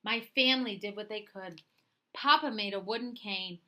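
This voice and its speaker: A woman narrates a children's story in a bright, forward voice at a middling pitch. Her delivery is expressive and deliberate, shaping each phrase dramatically as a read-aloud storyteller would. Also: slight background noise. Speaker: storyteller reading a picture book aloud.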